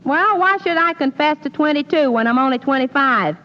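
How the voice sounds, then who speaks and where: An actress playing a sassy, scatterbrained young boardinghouse keeper in a 1940s radio comedy, with folksy malapropisms and comic timing. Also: female voice, high voice, lively intonation, a little fast, long reverb tail. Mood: coy boasting. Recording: muffled, hissy old radio recording.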